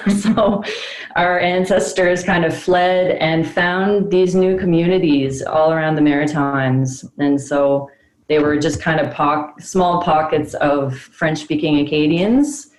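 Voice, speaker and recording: A woman with a low voice talks in a chatty, explanatory way and laughs often. She is heard over a video call from an echoey room. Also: darkish tone. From Prince Edward Island, Canada. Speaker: band member, interviewee.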